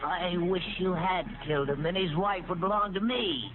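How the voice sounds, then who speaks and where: A man's voice: sits high, normal speed, natural voice. A man voicing a sneering, jealous ventriloquist's dummy with a cruel streak in a 1940s radio drama. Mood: spiteful, taunting. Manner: taunting, sneering. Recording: muffled, noisy old radio recording.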